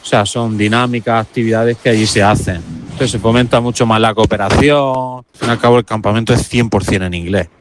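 Camp coordinator guy explaining stuff, lowish voice, bit of noise behind. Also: steady tone, fairly quick. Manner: conversational, explanatory. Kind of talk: interview answer.

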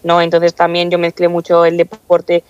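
A woman's voice: lowish voice, monotone, moderate pace, darkish tone, no room sound. A young woman from Castilla-La Mancha, a student council member, answering interview questions in a chatty way with many fillers and restarts. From Castilla-La Mancha, Spain. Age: young adult. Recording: dull lo-fi dry sound.

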